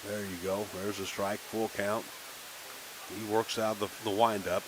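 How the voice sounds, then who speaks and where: A man calling a minor league baseball game on local radio, chatting casually between pitches. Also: unhurried, noisy background. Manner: relaxed folksy chatter between pitches, rising excitement on big plays. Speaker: play-by-play announcer.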